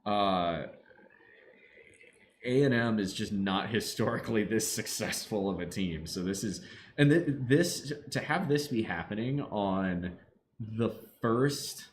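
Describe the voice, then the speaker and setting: Man, lowish voice, casual stream chatter, desk mic, faint background hum. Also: slow. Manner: relaxed chatter, bursts into excited yelling at the game. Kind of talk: casual live-stream chat. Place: home room.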